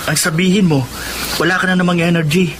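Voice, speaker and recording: A man playing a high-voiced, eager young Filipino student. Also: steady tone, a little fast, lightly acted. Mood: excited, teasing. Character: eager young provincial student, cheeky, big dreamer. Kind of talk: Filipino radio drama acting. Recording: noisy radio drama with ambient effects.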